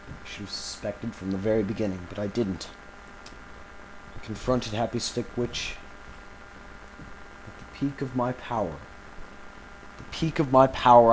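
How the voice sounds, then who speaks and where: A man playing an embattled villain recording a grave confessional audio log, speaking haltingly and solemnly with dramatic pauses. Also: mid-range voice, background noise, natural voice. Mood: bitter regret.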